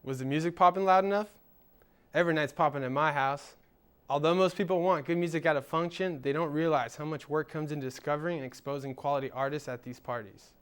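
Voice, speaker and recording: A man's voice: fairly high pitch, quick pace, clear, resonant tone. A young man hosting a student show, reading upbeat scripted links and interviewing a guest. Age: young adult. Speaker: student host and presenter.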